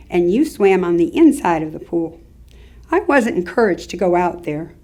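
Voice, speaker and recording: A woman's voice: medium pitch, darkish tone, lightly acted. An actress performing an older Southern woman's memories in a staged reading, with understated wry humor. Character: older Southern woman recalling youthful outings, wryly humorous. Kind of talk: staged reading of oral history recollections.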